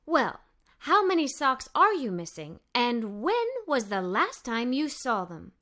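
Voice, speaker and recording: A woman's voice: a little high, very animated, unhurried, sharp tone, exaggerated voice. A woman voicing a curious, eager young girl adventurer in a children's story, inquisitive in manner.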